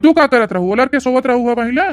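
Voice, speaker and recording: A woman's voice: high voice, fast, background noise, natural voice. A woman with an Awadhi accent voicing a bossy, short-tempered young brother-in-law who grumbles and scolds in a comedy cartoon. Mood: bossy, demanding.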